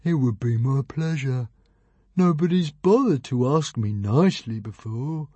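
A man voicing a sad, weary male dragon stuck in a cage, talking slowly and gloomily. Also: medium pitch, expressive, warm tone, dry recording, muffled recording. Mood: glum. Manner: slow, gloomy, resigned.

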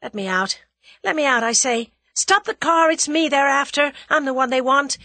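A woman's voice: high-pitched, normal speed, sharp tone, no echo, voice pushed up. A woman voicing a frightened young woman pleading urgently. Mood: desperate.

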